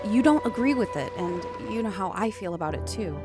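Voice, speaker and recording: An actress playing a devoted, headstrong biologist on an oil rig in an audio drama, emotional and earnest. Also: female voice, mid-range voice, lively intonation, brisk, close to an everyday voice. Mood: caring. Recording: music under the voice.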